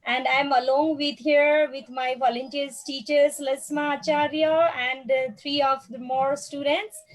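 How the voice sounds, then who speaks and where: Nepali-born female principal, formal greeting, high pitch. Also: flat delivery, unhurried, low-quality recording. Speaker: school principal.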